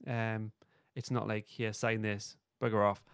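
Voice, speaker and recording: A man's voice: fairly low pitch, little pitch movement, unhurried, fairly bright tone, smooth, no echo, studio quality. A man hosting a podcast, chatting casually in an informal, understated way. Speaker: podcast host. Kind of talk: casual review chat.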